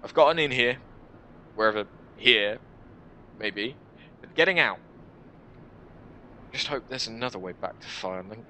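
A man in his twenties reading a first-person story aloud in an expressive, deliberate, dramatic style. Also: medium pitch, a little hiss or hum. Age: 20s. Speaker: video creator reading a visual novel. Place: small room at home, indoors.

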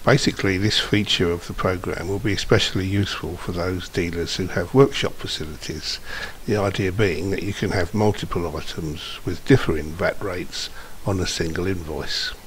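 A man narrating an informal software demonstration, explaining step by step in a slightly hesitant, unscripted way. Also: sits low, moderate pace. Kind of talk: informal screencast tutorial narration. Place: indoors, voice-over for screen recording. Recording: steady background hiss.

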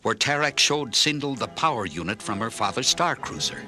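A man narrating a children's story in an expressive, clearly enunciated read-along style. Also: mid-range voice, normal speed, over music. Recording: orchestral film score under narration.

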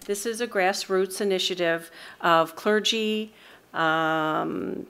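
A woman's voice: medium pitch, slow, a bit bright, smooth. A middle-aged woman with an American accent delivers a partly read prepared statement at a podium microphone in a meeting room. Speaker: mental health board member presenting to city council. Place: large council meeting room, indoors.

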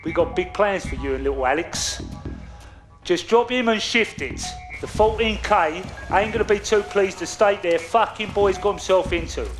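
Guy acting nasty london gangster in a game, high voice, taunting and volatile, music behind. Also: normal speed, lightly acted. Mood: menacing orders. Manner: taunting, bullying, foul-mouthed. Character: volatile, sadistic, menacing male London gangster. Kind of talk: video game cutscene acting.

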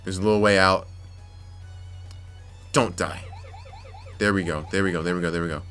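A young man commentating his gameplay, frustrated and muttering to himself with sudden yelps, slightly raspy from yelling. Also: low-pitched, steady tone, normal speed. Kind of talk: let's play commentary. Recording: faint game music behind.

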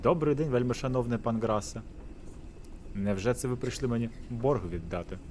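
A man's voice: mid-range voice, moderate pace, a little mellow, slight background noise, pitched above the performer's own voice, close to an everyday voice. A man voicing a haughty, mocking landlord in a theatrical visual novel, pompous and condescending.

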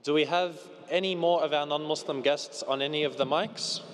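A man's voice: a little high, fairly even intonation, moderate pace. Businesslike male coordinator. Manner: brief, businesslike prompts. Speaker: session coordinator. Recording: long hall reverb.

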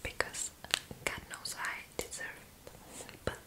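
Female, twenties, ASMR roleplay: fully whispered, breathy, gentle, close-miked. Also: unhurried. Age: 20s. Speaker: ASMR video creator playing a roommate. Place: small indoor room. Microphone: close microphone.

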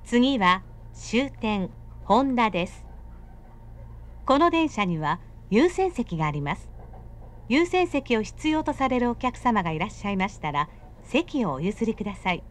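A woman's recorded voice giving automatic train announcements in smooth, evenly paced, clipped phrases. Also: fairly high pitch, big pitch swings, measured pace. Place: inside a moving commuter train.

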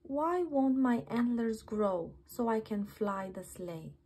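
A woman's voice: fairly high pitch, lively intonation, takes it slowly, heavily acted. Woman voicing a little hopeful reindeer. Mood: glum. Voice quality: breathy, soft. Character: small hopeful young reindeer, eager and sweet.

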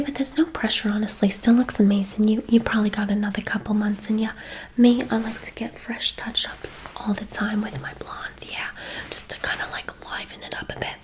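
A woman's voice: on the high side, a little mellow, slight background noise, lightly acted, low-quality recording. A woman playing a gushing, affectionate, gossipy hairdresser in an ASMR roleplay, chatty and full of endearments. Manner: effusive, chatty, uses endearments.

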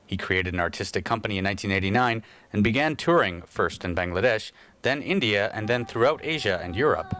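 Low-voiced male reporter, dry sound. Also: lively intonation, fairly bright tone, no echo. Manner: measured scripted narration. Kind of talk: news report voice-over.

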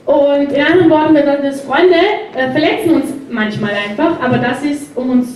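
A woman's voice: high-pitched, fairly quick, darkish tone. A young woman interpreting a sermon live on a church stage, hesitant, with fillers and restarts. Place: large church hall on stage. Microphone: handheld mic. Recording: echoey hall, distant mic, faint noise.

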